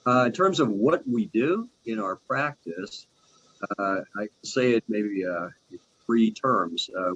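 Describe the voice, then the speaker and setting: A man in his sixties, a tax partner, giving a halting, filler-heavy talk over a video call. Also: on the low side, dry recording. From Argentina, raised in Canada. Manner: halting, many fillers and restarts. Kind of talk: informal presentation on a video call. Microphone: video call microphone. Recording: dull lo-fi call audio.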